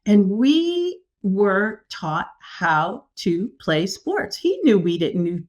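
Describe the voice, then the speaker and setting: Animated woman from New York. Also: mid-range voice, slow. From New York City. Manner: animated, enthusiastic storyteller. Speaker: executive coach guest. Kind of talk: casual interview.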